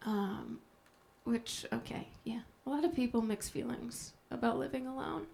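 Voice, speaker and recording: A woman from Minnesota doing a stand-up set, soft-spoken and deadpan with self-deprecating jokes. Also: a little high, normal speed, vocal fry, a little hiss or hum. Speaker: stand-up comedian. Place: comedy club stage before a live audience. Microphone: handheld stage mic.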